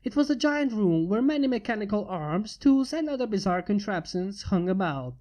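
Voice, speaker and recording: A woman reading a fan story aloud in plain, steady narration, lightly voicing the characters. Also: medium pitch, moderate pace. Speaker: fanfic reader.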